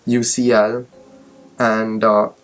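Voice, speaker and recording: A man's voice: on the low side, flat delivery, slow, low-quality recording. A nineteen-year-old man from India, a medical student answering viewers' questions in a casual vlog, thinking aloud with fillers. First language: Hindi.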